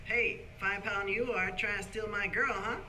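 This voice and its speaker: A man acts out a hearty, boastful young go-getter from an old radio drama. His voice is high and piercingly bright, with a breezy push and faint noise behind it. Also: fairly even intonation, normal speed, low-quality recording. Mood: jovial. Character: brash, jovial young businessman on the telephone. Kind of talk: 1940s radio play acting.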